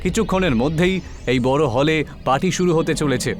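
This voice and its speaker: A man voicing an arrogant, callous rich young bully in an animated story. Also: fairly high pitch, brisk, natural voice. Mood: condescending.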